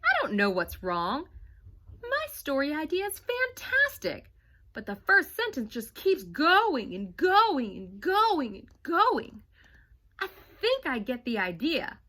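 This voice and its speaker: A woman's voice: high voice, wildly swooping pitch, slow pace, pushed and bright, pitched above the performer's own voice, full character voice. A woman voicing a frustrated young boy writer in a storybook read-aloud, sounding exasperated.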